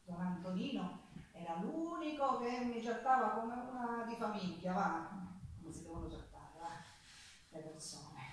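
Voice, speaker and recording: An actress playing a Sicilian woman from a poor family, fondly recalling her girlhood in an expressive, halting stage monologue with a Sicilian accent. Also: mid-range voice, a little hiss or hum, close to an everyday voice. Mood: wistful, grateful. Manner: expressive, reminiscing, halting with repeated words. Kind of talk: theatrical monologue with folk songs. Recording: echoey hall, distant mic, guitar music.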